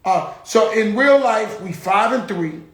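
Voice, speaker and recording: A man's voice: high voice, lively intonation, a little slow, roomy sound. A man hosting his own show, talking in a blunt, profane, rambling casual monologue. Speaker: show host.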